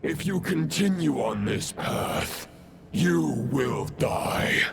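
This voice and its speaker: A gravelly villain knight. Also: male voice, mid-range voice, heavily acted. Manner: formal, pompous, declaiming. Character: proud undead knight villain, man, grave. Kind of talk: game cutscene voice acting.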